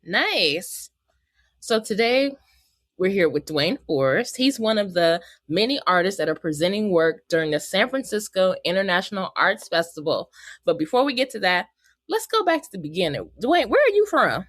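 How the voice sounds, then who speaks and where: A woman's voice: mid-range voice, sing-song, moderate pace, a bit bright, no echo, studio quality. A woman hosting a podcast interview, chatty and upbeat, laughing easily as she talks with her guest. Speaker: podcast host. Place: Bay Area.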